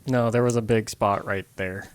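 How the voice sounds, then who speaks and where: A man's voice: lowish voice, fairly even intonation, moderate pace, clear, resonant tone. A man from the United States, playing a game with friends and chatting casually in short, dry remarks. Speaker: co-player. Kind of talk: casual gaming chat.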